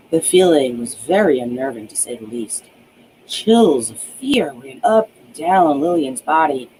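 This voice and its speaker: A woman reading her own novel aloud as an audiobook, in expressive narration with small stumbles. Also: low voice, a little hiss or hum, poor audio.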